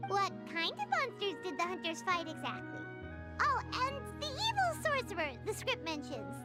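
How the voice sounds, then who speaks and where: A squeaky tiny fairy girl. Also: normal speed, exaggerated voice. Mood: curious. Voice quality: squeaky, very high. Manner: excited, questioning. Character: tiny chirpy floating girl companion, eager and nosy. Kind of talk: video game voice acting. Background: game music.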